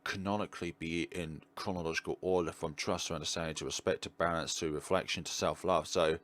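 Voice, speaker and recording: A man giving casual playthrough commentary as a gaming video host, thinking aloud with questions and trailing pauses. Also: deep voice, steady tone, a bit bright. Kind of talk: casual playthrough commentary, partly reading on-screen text aloud.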